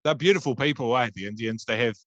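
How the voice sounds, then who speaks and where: A man in his thirties hosts a loose podcast chat, teasing his guest with crude, facetious humor. His voice sits at an ordinary middle pitch. The sound is close and dry, with no room around it. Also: fast, fairly bright tone, no echo, dull audio. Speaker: podcast host.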